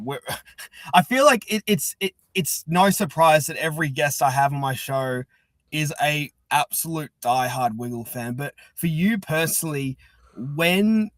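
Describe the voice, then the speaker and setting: Male Australian podcast host, higher-than-average pitch, casual interviewing with stop-start repeated words, very dry recording. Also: expressive, no room sound. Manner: halting, repeats words as he goes.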